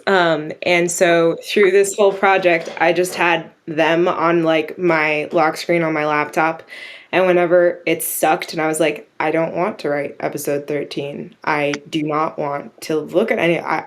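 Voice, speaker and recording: A young woman, a script writer, talking casually in an interview, animated and quick to laugh. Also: on the low side. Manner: animated, laughs often, acts out her own thoughts.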